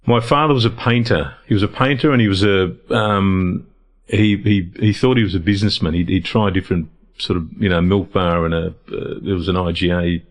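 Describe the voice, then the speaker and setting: Low-voiced middle-aged man. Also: steady tone, fairly quick. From Lebanon, raised in Australia. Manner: reflective, frequent restarts and repeated words. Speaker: guest, founder of a men's health organisation. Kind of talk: casual conversational interview.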